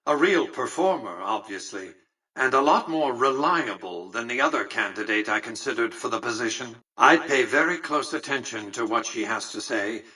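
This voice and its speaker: Imperious, husky-edged, bright-voiced old tycoon. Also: male voice, mid-range voice, expressive, normal speed, recorded from a distance, poor audio. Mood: smooth, superior. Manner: formal, articulate, condescending, dryly superior. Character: cold, imperious old male tycoon ruling a casino city. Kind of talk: scripted video game character dialogue.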